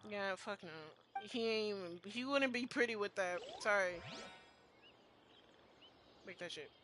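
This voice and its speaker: A woman's voice: medium pitch, measured pace, fairly bright tone, slight background noise. A woman streamer giving casual, reactive game commentary in a slangy, sweary, gushing way. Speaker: gaming streamer.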